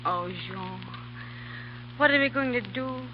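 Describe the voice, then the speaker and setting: An earnest young lady in love, played by an actress, pleading with the man she loves. Her voice is medium in pitch. The sound is dull, off an old radio broadcast with faint hum. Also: a little slow, lightly acted. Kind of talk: 1930s radio drama acting.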